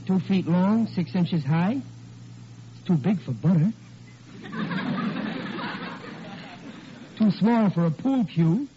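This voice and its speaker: A man's voice: high-pitched, big pitch swings, slow, long pauses, full character voice. An actor playing a blue-collar family man in 1940s radio comedy, colloquial and defiant, full of sheepish excuses. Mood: curious. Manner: colloquial, blustering, makes feeble excuses. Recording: muffled, noisy old radio recording.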